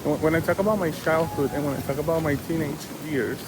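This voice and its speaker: Un hombre joven de Venezuela, con acento venezolano, charla de forma franca y relajada mientras camina al aire libre y se corrige a menudo. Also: male voice, on the high side, steady tone, fast talker. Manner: chatty and candid, with frequent restarts. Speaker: vlogger. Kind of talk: casual vlog chat. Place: outdoors on a walk. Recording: outdoor noise with passing traffic.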